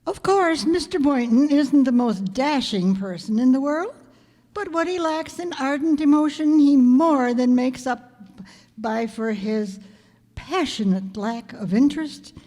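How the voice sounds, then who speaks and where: A woman's voice: sits high, a little slow, mellow. A woman playing a wry young English teacher with dry, sardonic delivery in an old-time radio comedy. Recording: echoey hall, live stage reading.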